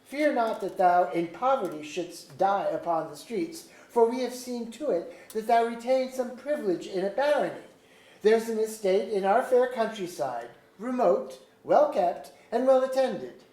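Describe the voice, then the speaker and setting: A woman's voice: a little high, animated, measured pace, warm tone. A woman acting an ambitious, imperious noble lord in a staged play reading, with commanding, formal archaic speech. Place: museum auditorium. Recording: echoey auditorium.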